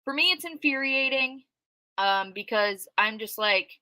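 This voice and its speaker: A woman giving casual, outspoken livestream commentary, loud-voiced and chatty, with plenty of fillers as she reacts to live chat comments. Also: on the high side, animated, unhurried, no room sound. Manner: conversational with frequent fillers. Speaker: true crime commentator reading live chat.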